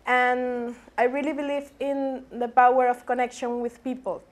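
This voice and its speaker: Earnest woman from Cuba, flat high voice. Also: moderate pace. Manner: earnest, non-native grammar. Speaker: playwright. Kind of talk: panel introduction.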